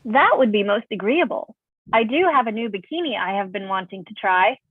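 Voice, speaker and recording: A woman's voice: mid-range voice, expressive, fairly quick, breathy edge. A woman playing a polite, literal robot assistant in a science fiction drama reading, speaking formally and precisely through a thin phone-like sound.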